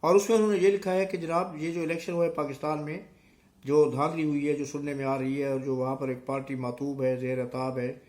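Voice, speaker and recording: Casting: middle-aged man, Pakistani accent, fairly high voice strained by a sore throat, emphatic political vlogger addressing viewers off the cuff. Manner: emphatic, rhetorical questions and repetition.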